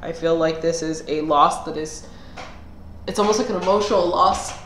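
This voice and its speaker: A woman talks through a tarot reading in a relaxed, rambling way, pausing on fillers and starting sentences over. She sounds a little nasal, as if getting over a cold, and her voice sits on the low side. The room gives an echoey ring, with a faint hiss underneath. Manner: thinks aloud with fillers and restarts. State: under the weather, clearing throat. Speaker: tarot reader. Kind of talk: casual tarot reading monologue.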